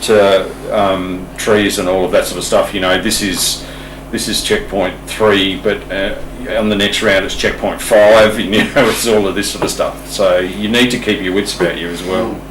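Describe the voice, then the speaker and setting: A deep-voiced man explains things in a casual, halting way, often repeating a word. He sounds some distance from the mic in an echoing room with faint noise. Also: steady tone. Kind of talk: informal explanatory talk.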